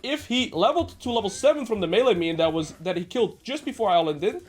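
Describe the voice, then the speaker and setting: Man, nasal, slightly sick, fast talker, coaching gameplay commentary, joking asides. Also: high-pitched, lively intonation. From Netherlands. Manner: brisk, explanatory, joking asides. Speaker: game coach.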